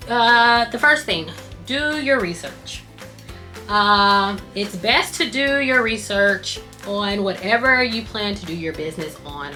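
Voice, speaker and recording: A woman with a bright, forward voice talks through business tips in an easy, chatty way. She is losing her voice, so it wears thin in places, and faint music plays under her. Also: medium pitch. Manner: chatty, reads tips off cue cards while sewing. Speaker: scrunchie business owner and channel host. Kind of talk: casual tutorial vlog.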